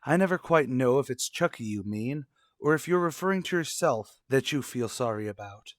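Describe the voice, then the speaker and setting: An actor playing a cold, accusing husband in a radio soap, speaking formally and condescendingly with pointed questions. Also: male voice, mid-range voice, expressive, moderate pace, no echo, studio quality, close to an everyday voice. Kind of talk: revived daytime radio soap acting.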